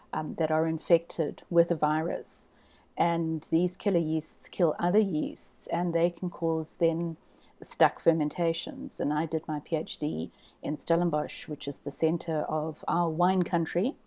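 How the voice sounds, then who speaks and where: Mellow-voiced woman from Zambia. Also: sits low. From Zambia and Zimbabwe. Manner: repeats words while thinking, relaxed. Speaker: guest scientist. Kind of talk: casual interview answers.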